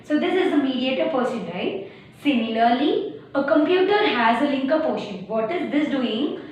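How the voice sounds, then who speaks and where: A woman's voice: high voice, lively intonation, moderate pace. A young woman with a Telugu-influenced Indian English accent, teaching beginner programming with everyday analogies, many fillers and restarts. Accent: Indian English, Telugu-speaking. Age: young adult. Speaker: tutor. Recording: distant, very echoey room, dull lo-fi sound, faint hiss.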